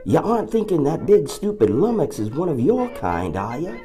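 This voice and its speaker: A man's voice: fairly high pitch, wildly swooping pitch, normal speed, music in the background. A man playing a crude, sneering villain sidekick in an audio drama, blunt and mocking.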